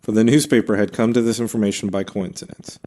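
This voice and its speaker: A man with a fairly low voice reads a scripted history story as podcast host. He is measured, stepping out for casual asides. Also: fairly even intonation, mellow, a little hiss or hum. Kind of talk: reading a scripted history narration.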